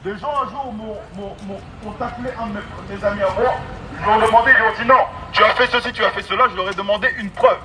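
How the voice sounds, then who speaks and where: High-pitched male street preacher on a megaphone. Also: fast, a crowd in the background, poor audio. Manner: exhorting, emphatic, repetitive phrasing. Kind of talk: street sermon, open-air evangelism. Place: outdoors on an urban street. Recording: amplified megaphone, loud outdoor street noise.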